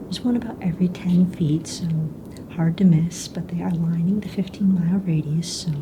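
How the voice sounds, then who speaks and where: A woman whispering softly in a breathy voice, playing a casual, unbothered fantasy mistress of ceremonies in an ASMR roleplay. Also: normal speed. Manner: casual, hesitant, matter-of-fact explaining. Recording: clear low rumbling background noise.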